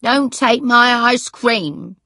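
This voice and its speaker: Woman as an eccentric, high-voiced old lady. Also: big pitch swings, dry recording, clean studio sound, full character voice. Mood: cross, suspicious. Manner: crazy high voice, drawn-out delivery. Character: eccentric old woman of eighty, grand and moody.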